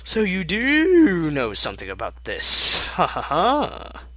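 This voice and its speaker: A man's voice: sits high, wildly swooping pitch, slow, pushed and bright, no room sound, voice pushed up, full character voice. A man voicing a giggly, friendly female cartoon party pony. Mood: knowing.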